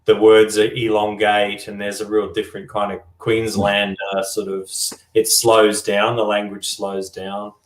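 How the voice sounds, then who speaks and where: A man's voice: on the low side, monotone, a little slow, hardly any pauses. A man with an Australian accent chatting informally as a judge on a panel. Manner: conversational, informal. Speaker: contest judge. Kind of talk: casual panel chat. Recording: roomy, echoing microphone sound.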